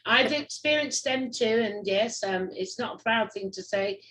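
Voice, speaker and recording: A woman's voice: mid-range voice, moderate pace, sharp tone, low-quality recording. A middle-aged woman from the UK hosting a casual interview, effusive and rambling, with many fillers. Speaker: host.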